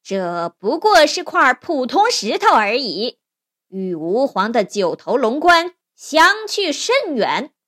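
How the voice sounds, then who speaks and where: A woman plays a fairy-tale courtier, fawning on the throne and sneering at others. The voice is high, shrill and animated. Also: slow, exaggerated voice. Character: sneering, sycophantic male court official.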